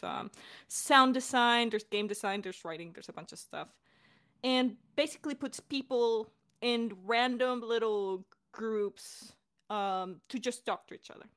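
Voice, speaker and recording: Young woman, high voice, podcast director and co-host in relaxed, informal Q&A chat. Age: young adult. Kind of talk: casual creator Q&A chat.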